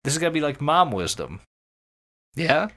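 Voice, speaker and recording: Animated, bright-voiced male podcast host. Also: fairly high pitch, moderate pace. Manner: jokey, sweary, teasing. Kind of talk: casual comic banter.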